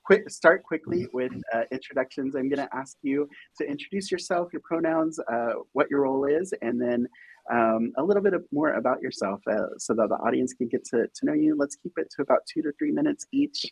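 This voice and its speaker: A man hosting and moderating an online career fair in a casual, upbeat, somewhat halting way. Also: mid-range voice, very animated, creaky, breathy edge, no echo, poor audio. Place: remote virtual event stream.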